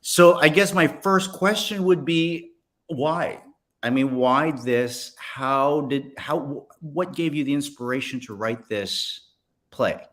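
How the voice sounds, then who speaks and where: An American man hosting a casual, friendly interview, speaking haltingly and repeating words as he forms his questions. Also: mid-range voice, a bit bright. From Ohio, United States. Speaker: interview host.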